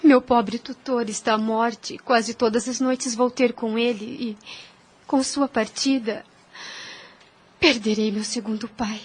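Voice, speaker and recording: A woman playing a gentle, devout young Spanish woman who explains scripture earnestly in a religious audio drama. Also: on the high side, fairly even intonation, slightly rough. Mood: sorrowful. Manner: earnest, measured, reverent explaining.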